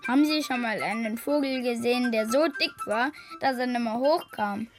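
High-voiced woman interviewer over music. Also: moderate pace. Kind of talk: short interview questions.

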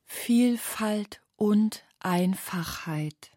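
A woman recites literary prose slowly. Her voice is breathy and half-whispered, at a medium pitch, in a dry recording. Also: mellow, no room sound.

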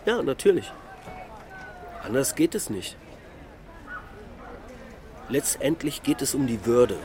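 A man's voice: mid-range voice, sing-song, slow pace. An actor playing a melancholic middle-aged husband and father in a naturalistic radio drama, musing reflectively in short sentences. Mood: musing, unsettled. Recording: loud urban traffic ambience.

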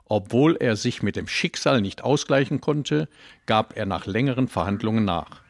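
A man's voice: lowish voice, animated, moderate pace, dry recording, clean studio sound. A man giving a scripted reading of an eyewitness account. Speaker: reader of testimony.

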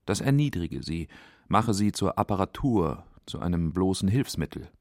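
Low-voiced male documentary narrator. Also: very animated, moderate pace, a little mellow, no room sound, clean studio sound.

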